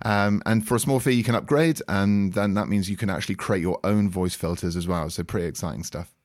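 A man's voice: deep voice, fairly quick. A man hosting a podcast, introducing the show and interviewing guests in a conversational way. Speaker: podcast host.

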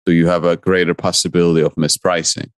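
A man's voice: low voice, little pitch movement, quick pace, smooth, no room sound, clean studio sound. A man, a guest investor answering interview questions earnestly in short listed phrases. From Poland.

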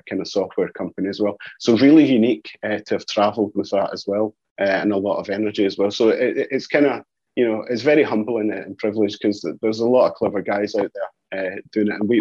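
A man's voice: fairly low pitch, darkish tone, husky. A man with a Scottish accent giving an informal business interview, often padding sentences with "you know" and "as well". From Scotland. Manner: repeats "you know" and "as well" as verbal tics. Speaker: company executive being interviewed. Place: office, on a video call. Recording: muffled, roomy video-call audio.

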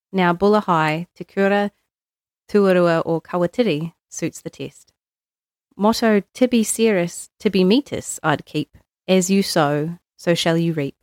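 A New Zealand woman reads verse aloud in measured phrases with small pauses. Her voice sits a little low, and the sound is dry and close. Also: a little mellow, no room sound. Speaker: guest poetry reader. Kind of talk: poetry reading.

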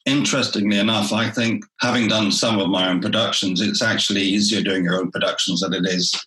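A man who is a theatre director, talking through his work in a relaxed interview and often restarting his phrases. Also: on the low side, fairly quick, reverberant, low-quality recording.

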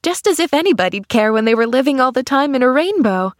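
An eager, affectionate little girl from a storybook, acted out by a woman reader. Her voice sits high and gushes with exclamations and wonder. She is cheerful and openhearted, recorded close and dry. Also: measured pace, dry recording. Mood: dreamy. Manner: gushing, exclamatory, breathless wonder. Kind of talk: storybook character acting.

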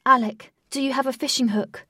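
A woman voicing a stern, proud, combative man in a storybook, speaking tersely and forcefully. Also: high voice, steady tone, a little fast, husky, no room sound, studio quality, recorded from a distance, pitched above the performer's own voice, full character voice. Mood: urgent.

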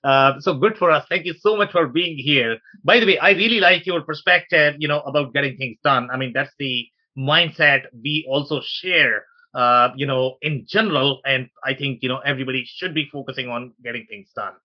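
A man's voice: mid-range voice, moderate pace, few pauses, lo-fi sound. A man hosting a conversational interview show in an enthusiastic, welcoming manner. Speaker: podcast host.

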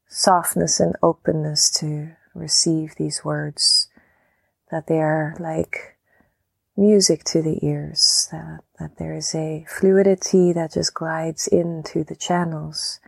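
A woman leading a guided meditation, speaking calmly and gently in short phrases with long pauses. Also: low-pitched, gravelly, slightly rough, no echo. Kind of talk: guided meditation, softly spoken instruction.

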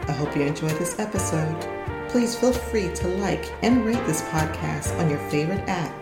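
Female podcast host, very low pitch, measured sign-off, echoey sound with prominent music. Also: expressive. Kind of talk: scripted podcast outro. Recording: roomy echo under loud music. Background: loud music.

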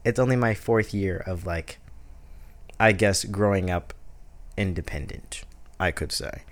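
A man's voice: low-pitched, measured pace. A man in his twenties hosting a casual solo podcast, rambling with many fillers and restarts. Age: 20s. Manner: rambling, heavy use of "like", restarts. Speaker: podcast host.

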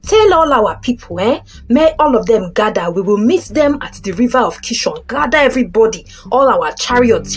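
A weary but bossy military commander with a Nigerian accent , as a woman acts him. He repeats his words for emphasis in an expressive audio Bible play, with music behind. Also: mid-range voice, lightly acted. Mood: commanding. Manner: repeats orders. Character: weary, bossy male enemy army commander Sisera.

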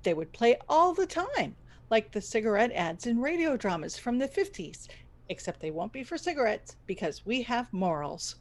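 A woman's voice: a little high, sing-song, normal speed. A woman playing an earnest, frazzled radio station boss in a scripted audio comedy, theatrical and wry. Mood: earnest, businesslike.

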